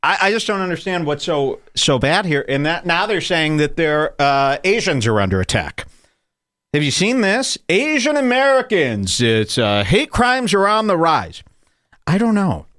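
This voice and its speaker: A man's voice: a little high, very animated, normal speed, fairly bright tone, no echo, clean studio sound. A man hosting a podcast, ranting in a loose, provocative comedic way and laughing at his own jokes. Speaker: podcast host. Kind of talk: casual comedic rant.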